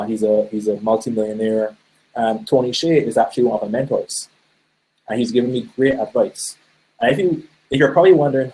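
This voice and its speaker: A middle-aged man with a Barbadian accent leads an online presentation, speaking into a computer mic on a call. His voice sits a little low and sounds mellow and relaxed. He talks casually, often pausing on ums as he tells stories. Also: rough recording. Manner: conversational, storytelling with many fillers. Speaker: webinar presenter. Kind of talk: live online presentation with chat questions.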